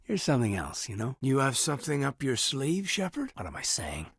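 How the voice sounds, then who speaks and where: A man voicing an earnest, loyal military officer in a sci-fi video game, sincere and restrained, with a husky voice. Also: medium pitch, huge pitch swings, brisk, no room sound, clean studio sound, heavily acted. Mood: teasing, fond. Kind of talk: naturalistic dramatic game dialogue.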